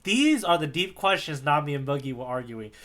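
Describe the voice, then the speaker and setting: A man's voice: a little high, big pitch swings, a little fast, pushed and bright. A man co-hosting a podcast, telling a story in a casual, rambling, jokey way. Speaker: podcast co-host. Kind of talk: casual chat recapping a manga chapter.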